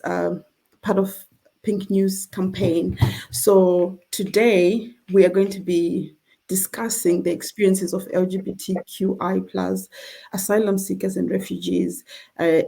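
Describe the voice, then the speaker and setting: A woman's voice: medium pitch, vocal fry, dark tone, breathy edge. A middle-aged woman from Zimbabwe, a charity representative hosting an online conversation, speaking earnestly with frequent fillers and restarts.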